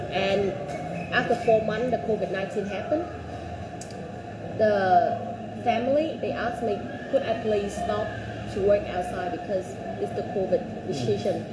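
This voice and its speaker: A woman in her twenties, born in Vietnam, a job applicant telling her work history in halting speech with many fillers and restarts. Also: mid-range voice. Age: 20s. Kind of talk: interview answers. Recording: echoey room, loud background noise.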